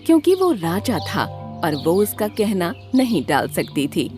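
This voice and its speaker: A woman narrating a scripted children's story as an expressive storyteller. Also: mid-range voice, a little slow, music in the background.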